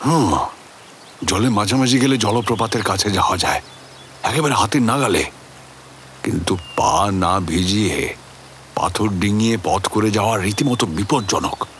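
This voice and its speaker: A man's voice: on the low side, expressive, unhurried, long pauses, fairly bright tone, a little hiss or hum. An actor playing an obsessed middle-aged family man who recounts a haunted pursuit in a theatrical, intense suspense narration. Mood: brooding. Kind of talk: dramatic first-person audio story narration.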